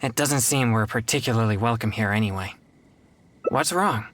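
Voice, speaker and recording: A man voicing an earnest, principled young exorcist in a video game, speaking politely in formal, measured wording. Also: lowish voice, a bit bright, natural voice. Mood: calm, resolute.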